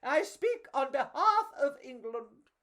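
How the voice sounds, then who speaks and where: Woman as a high, slow, grand queen. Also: big pitch swings, a bit bright, exaggerated voice. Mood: grand, delighted. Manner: ceremonial, proclaiming. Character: grand, gracious, delighted storybook queen.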